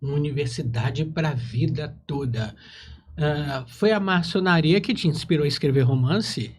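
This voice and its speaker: A man's voice: a little high, normal speed, husky. A man hosting a casual interview, chatting in an informal, playful and teasing way. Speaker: interview host.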